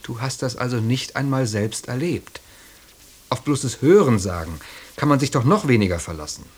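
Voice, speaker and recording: A man's voice: mid-range voice, expressive, normal speed, breathy edge. An actor in a radio drama playing a rational, sceptical man with a dry, pointed way of questioning. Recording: faint tape hiss.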